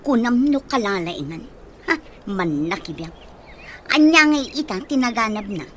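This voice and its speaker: Animated, scornful scheming woman, played by an actress. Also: mid-range voice, moderate pace, slight background noise. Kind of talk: Ilocano radio drama acting.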